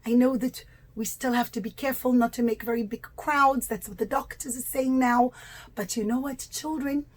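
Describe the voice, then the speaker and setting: A woman telling a religious lesson to young children as a storyteller, in simple, expressive language with stretched-out key words. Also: fairly high pitch, moderate pace.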